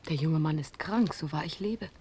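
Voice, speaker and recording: A woman's voice: deep voice, normal speed, darkish tone, close to an everyday voice. A woman plays a worried, compassionate lady of the court in a classical radio drama. She speaks in verse, with faint noise on the recording. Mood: concerned, reproachful.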